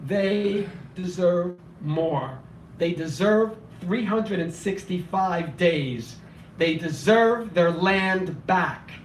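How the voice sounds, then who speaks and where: A man reading his spoken word poetry at a cafe open mic, impassioned and declamatory, his voice slightly hoarse and weak. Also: sits high, lively intonation, very slow, slight background noise. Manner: impassioned, declamatory, rhythmic repetition. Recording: echoey room, voice from far off.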